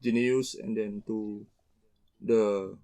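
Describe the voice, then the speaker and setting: Halting man on a podcast. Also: lowish voice, steady tone, mellow. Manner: halting, searching for words. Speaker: podcast guest. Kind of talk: casual podcast chat.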